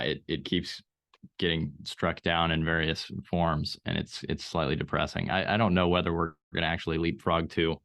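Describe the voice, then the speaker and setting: A man's voice: low voice, a bit bright. A man from Austria, a startup CEO guest, talking thoughtfully in a casual podcast conversation, with frequent restarts. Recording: slightly dull lo-fi audio.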